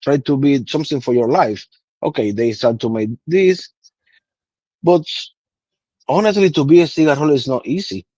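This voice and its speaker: A man's voice: on the high side, normal speed, long pauses, darkish tone, no echo, highs cut off. A man in his thirties from Cuba, with a Cuban Spanish accent and Spanish as his first language, explaining patiently in broken English in a casual interview. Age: 30s. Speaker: guest cigar blender.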